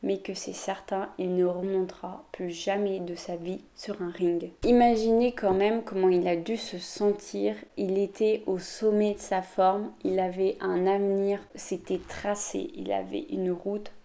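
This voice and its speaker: Youtuber woman telling a true story, mellow warm voice, casual chatty delivery. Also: mid-range voice, flat delivery, measured pace, hardly any pauses. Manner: chatty, addresses viewers directly. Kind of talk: storytelling vlog.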